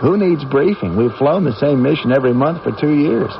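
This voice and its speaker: An actor playing a teasing, practical astronaut crewmate with an American accent in a 1980s radio drama. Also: male voice, on the high side, animated, a little fast, creaky, noisy background. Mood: reassuring, breezy. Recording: muffled old radio broadcast, faint music.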